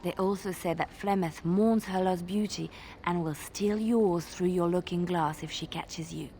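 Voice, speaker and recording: An actress playing a traveling minstrel in a fantasy game, telling tales in a measured, suspenseful and lyrical way. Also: female voice, fairly low pitch, steady tone, mellow, slight background noise. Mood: ominous. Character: female traveling minstrel, soft-spoken, wistful storyteller. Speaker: game companion character. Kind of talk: dramatic storytelling in a fantasy game.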